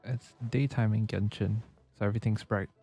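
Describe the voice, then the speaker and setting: A man's voice: on the low side, steady tone, a little fast, warm tone. A man doing casual game playthrough commentary as a streamer, musing aloud and reacting as he plays. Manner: musing, reactive, repeats and echoes game lines. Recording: faint game music behind.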